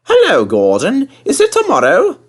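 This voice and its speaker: A man voicing an eager, cheeky young male steam engine in a storybook reading, with excitable exclamations. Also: very high-pitched, normal speed, voice pushed up, heavily acted. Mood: cheeky, bright.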